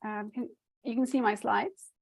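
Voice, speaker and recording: Creaky-voiced woman presenting over video call. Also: a little high, fairly even intonation, measured pace. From Nepal. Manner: hesitant, many fillers and restarts. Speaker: academic presenter. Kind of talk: conference presentation.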